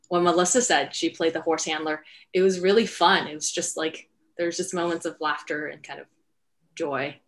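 A woman's voice: on the low side, fairly bright tone. A woman hosting an informal panel Q&A, speaking reflectively and searching for words. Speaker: writer and director hosting a panel. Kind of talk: informal Q&A talk. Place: indoor room at home, video call. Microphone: headset on a video call.

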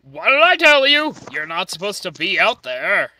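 Man acting blustering showman, cartoon fandub, very sharp forceful tone, animated, foul-mouthed. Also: sits high, normal speed, dry recording, full character voice, low-quality recording. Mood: flustered. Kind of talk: improvised comic cartoon fandub.

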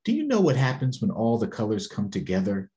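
An American man voicing a gentle, reassuring heart spirit from a picture book, slow, soothing and lilting. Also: on the low side, huge pitch swings.

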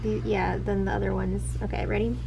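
A woman's voice: medium pitch, flat delivery, fairly quick, gravelly, mellow, lo-fi sound, slight background noise. A woman in her twenties chatting casually in a vlog, picked up by a handheld camera. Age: 20s. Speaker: vlogger. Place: large store aisle, later home kitchen. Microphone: handheld camera at arm's length or a little further.

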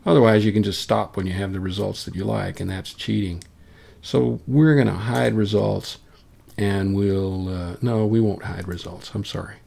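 A man presenting a casual software tutorial, thinking aloud informally as he demonstrates. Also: fairly low pitch, fairly even intonation, normal speed, vocal fry, breathy edge. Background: solo acoustic guitar clips playing.

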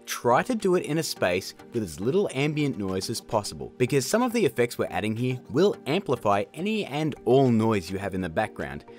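A man hosting a casual software tutorial in a chatty, jokey way. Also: medium pitch, very animated, fairly quick, hardly any pauses, soft background music. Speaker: tutorial host. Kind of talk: casual screen-recorded tutorial narration.

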